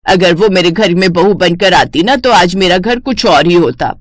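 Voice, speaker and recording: A woman's voice: lowish voice, moderate pace, no echo, clean studio sound, natural voice. A woman voicing a complaining older mother-in-law in a cartoon family story. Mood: scolding. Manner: complaining, nagging. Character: scolding older mother-in-law, grudging and quick to praise others.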